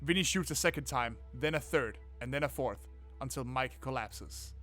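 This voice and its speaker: A man hosting a game playthrough, reading narration aloud and commenting in a casual, expressive, sweary way. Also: mid-range voice, moderate pace. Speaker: gaming video host. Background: faint game music.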